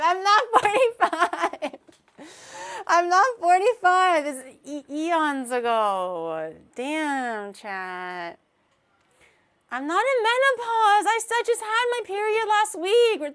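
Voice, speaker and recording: Young woman streamer in her 20s, high voice, rambles and laughs at herself a lot. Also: a bit bright. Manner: rambling, self-mocking, laughs often. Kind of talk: casual stream reaction chat.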